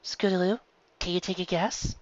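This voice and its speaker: A woman voicing a patient but gently stern female pony schoolteacher in a story, measured and instructive. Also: fairly low pitch, fairly even intonation, no echo, dull audio, pitched above the performer's own voice, natural voice.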